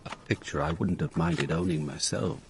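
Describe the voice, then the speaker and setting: An actor playing a world-weary, middle-aged police inspector, narrating his case in a measured, dry, reflective radio drama style. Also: a bass voice, creaky, a little hiss or hum. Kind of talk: radio drama, first-person detective narration.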